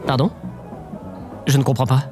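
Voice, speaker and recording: A deferential presidential aide, played by a man, speaks at a middling pitch with formal obedience and some hesitancy. Music plays clearly behind him in this radio play. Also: steady tone, moderate pace, warm tone, close to an everyday voice.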